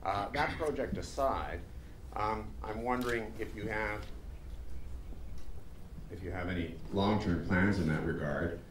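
Deep-voiced man asking from audience. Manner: loud voice, halting question with fillers. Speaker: audience member asking a question. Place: cinema audience. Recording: echoey cinema with faint noise.

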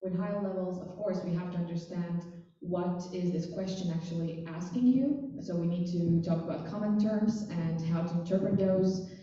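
Woman, panelist, relaxed talk, dark tone, roomy hall, lo-fi. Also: on the low side, normal speed. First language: Latvian. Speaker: biology teacher, panelist. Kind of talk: panel discussion answers. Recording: roomy hall, distant mic, dull sound.

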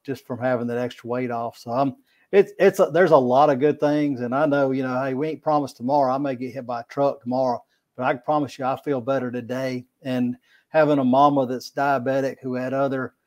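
A man's voice: mid-range voice, steady tone, brisk, darkish tone, muffled recording. Middle-aged man, podcast guest over video call, rambling, folksy, repetitive. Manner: rambling, repeats words, folksy. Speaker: podcast guest, kayak angler. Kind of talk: casual video-call interview. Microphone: video call webcam mic.

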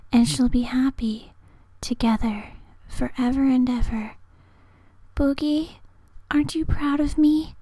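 A woman playing an eerie, aloof young vampire girl in an amateur anime dub audition, breathy, languid and hushed. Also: on the high side, dry recording. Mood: fragile, pleading. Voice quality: breathy, whispery.

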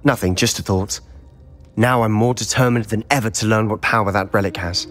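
A man's voice: fairly low pitch, a little fast, a bit bright, lightly acted. A young man acting as a determined, hot-headed teenage schoolboy wizard in a video game, intense and articulate. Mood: earnest, brooding. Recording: music behind the voice.

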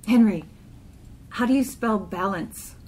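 Female poetry reader, unhurried, clipped phrasing, faint noise behind. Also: medium pitch, darkish tone. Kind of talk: literary poem reading.

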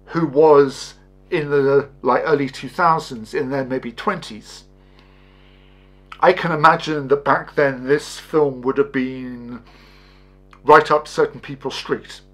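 A man in his forties giving a casual spoken review to camera, halting and often tagging statements with "okay?". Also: medium pitch, a bit bright. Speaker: host, film reviewer. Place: small indoor set with curtain backdrop.

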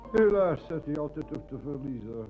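Guy doing a fawning polite waiter, highish voice, music playing behind him. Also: animated, normal speed, mellow, close to an everyday voice, rough recording. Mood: obliging. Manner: servile, polite. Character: obsequious, courteous male waiter or barman. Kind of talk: Dutch radio play acting.

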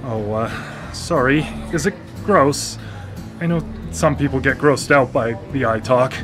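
A man's voice: medium pitch, measured pace, over music, natural voice. A man in a live radio drama playing a recently blind former engineer, hesitant and stammering with a wry, self-deprecating humor. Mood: awkward, wry. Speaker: lead character in a radio drama. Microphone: studio microphone.

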